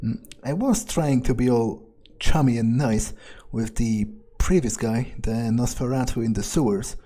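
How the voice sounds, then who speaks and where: Mellow-voiced man role-playing through a game. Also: medium pitch, a little slow. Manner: musing, casual, profane. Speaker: gamer role-playing his character aloud. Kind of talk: in-character game playthrough commentary.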